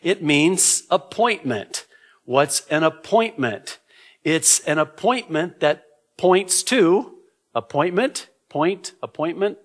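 A middle-aged man, a native Arabic speaker, preaching as a pastor in a measured, explanatory way, repeating key words deliberately. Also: a little high, animated. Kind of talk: sermon, Bible prophecy teaching. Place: indoor church, at a lectern. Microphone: gooseneck lectern microphone.

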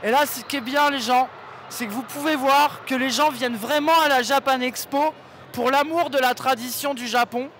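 A man's voice: extremely high pitch, fairly even intonation, normal speed, fairly bright tone. A man in his twenties co-hosting a vlog with slangy, teasing chat into a handheld mic at a convention. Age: 20s. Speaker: vlog co-host. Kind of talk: casual joking chat. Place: large convention hall. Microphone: handheld microphone. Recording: faint convention noise behind.

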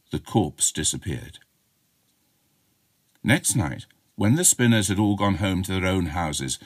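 A man reads a spooky tale as a dramatic storyteller. His voice is low and husky, and he takes his time. The sound is dry and close. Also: no room sound. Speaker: audiobook narrator. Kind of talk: dramatic scripted storytelling, chiller.

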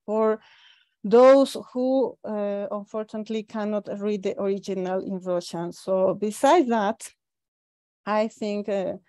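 Female scholar, Spanish accent, mellow dry sound, enthusiastic but halting delivery with repeated words. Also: mid-range voice, steady tone, no echo. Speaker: academic discussant. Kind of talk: informal spoken academic commentary. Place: living room at home. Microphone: earphone mic on video call.

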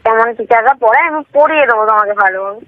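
A woman's voice: a little high, rapid-fire. A woman in a casual phone conversation, answering with curt, dismissive comebacks. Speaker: prank call recipient. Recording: dull, noisy phone line.

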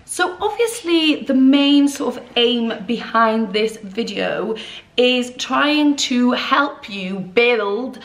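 A young woman, chatting candidly to camera and gesturing as she talks from an armchair in a small dim room. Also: on the high side. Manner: chatty, candid, expressive hand gestures, swearing. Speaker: vlogger. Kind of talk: casual chat to camera.

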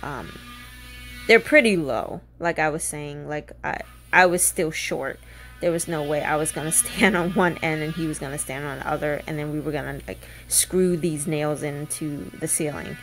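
A woman's voice: sits low, moderate pace, a bit bright, soft background music. A woman casually narrating a home renovation video, chatty and full of ums while giving practical tips.

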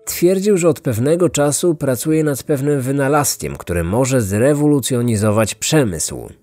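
A man hosting a true-crime podcast, reading a scripted story in a measured storytelling manner. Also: mid-range voice, animated, warm tone, no room sound. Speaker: podcast host and narrator. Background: music.

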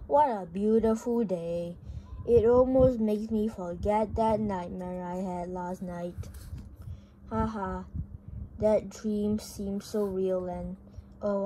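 A high-voiced reader reading a comic story aloud haltingly, stumbling over words, in an amateur home recording. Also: steady tone, mellow, slight background noise, low-quality recording. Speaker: video host reading a book aloud.

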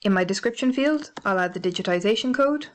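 A woman with a British accent narrating a library software tutorial, clear and methodical, spelling out codes letter by letter. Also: mid-range voice, expressive, brisk. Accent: British English. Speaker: library staff trainer. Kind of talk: instructional screencast narration.

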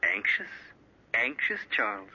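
A man's voice: on the low side, big pitch swings, unhurried, pushed and bright, slightly rough, full character voice. An actor voicing the sinister inner thoughts of a young man in an old radio drama. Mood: menacing. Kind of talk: 1940s radio acting. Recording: dull lo-fi old radio recording, distant.

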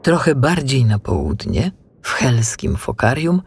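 A man narrating a scripted children's audiobook in an expressive, measured storytelling manner. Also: mid-range voice, gravelly, a little hiss or hum.

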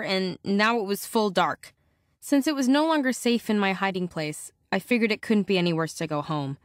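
A woman plays a teenage girl narrating an audio drama in the first person. Her medium-pitched voice is sardonic and resigned, recorded close and dry without room sound. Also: no echo, close to an everyday voice.

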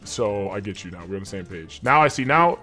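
Young male gamer, lively swinging pitch, cocky slangy banter on a close desk mic, music behind. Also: fairly quick. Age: 20s. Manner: cocky, slangy trash talk. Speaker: streamer playing as a trolling student. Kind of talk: casual gaming banter. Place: small indoor room. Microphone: large desk microphone up close.

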